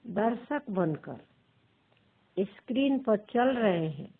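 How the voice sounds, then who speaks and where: A woman leads a guided meditation as a teacher, pausing between short, measured phrases in a husky, creaky voice. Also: mid-range voice, lively intonation. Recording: thin phone-like sound.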